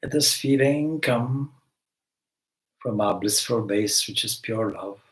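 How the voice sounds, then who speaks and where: Very slow-speaking man guiding meditation. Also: mid-range voice, poor audio. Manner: gentle instructional phrasing with long pauses. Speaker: swami leading guided meditation. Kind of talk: guided meditation.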